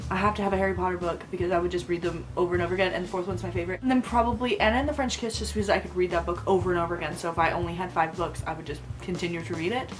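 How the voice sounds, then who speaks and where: A young woman talks to camera from a bedroom lined with bookshelves, gushing in an excitable fangirl way. Her voice has a slight roughness from a coming cold and sits at an ordinary pitch. Faint music plays underneath. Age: young adult. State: voice a bit rough from getting sick. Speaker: book vlogger. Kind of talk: casual Q&A vlog.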